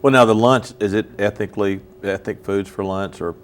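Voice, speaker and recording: A man's voice: lowish voice, fairly even intonation, brisk. A man hosting a casual interview on local television, chatty and given to repeating words as he searches for them. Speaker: host. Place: local TV studio.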